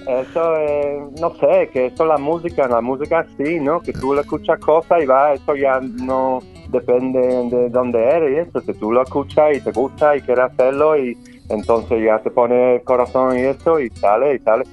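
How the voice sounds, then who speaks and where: A man from Scotland speaking Spanish as a second language, a flamenco guitarist being interviewed, who talks haltingly with many restarts and fillers. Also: medium pitch, steady tone, muffled recording. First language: English. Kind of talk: casual interview. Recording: faint guitar music behind.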